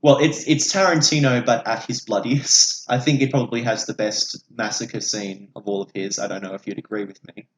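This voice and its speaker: A man's voice: lowish voice, fairly even intonation, brisk, hardly any pauses, a little hiss or hum. A young man co-hosting a podcast with casual, jokey, sweary film banter. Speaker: podcast co-host. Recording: echoey room.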